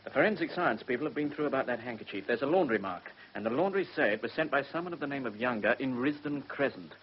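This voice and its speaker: A male actor as a dogged British police inspector in a radio play. He talks quickly and matter-of-factly, through a hissy, dulled recording. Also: mid-range voice, expressive, muffled recording, lightly acted. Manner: brisk, matter-of-fact. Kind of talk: British radio drama acting.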